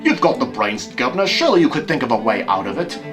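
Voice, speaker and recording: A man's voice: mid-range voice, very animated, fast talker, over music, natural voice. Man doing a blunt, hot-tempered crook henchman. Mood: dismayed. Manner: blunt, slangy, grumbling. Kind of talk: amateur audio drama acting.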